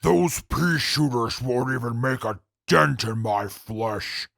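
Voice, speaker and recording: Gravelly, menacing mutant played by a man. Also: medium pitch, expressive, slow, a bit bright, no room sound, studio quality, full character voice. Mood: menacing, taunting. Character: menacing man-eating mutant prisoner taunting his guards. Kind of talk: post-apocalyptic audio drama acting.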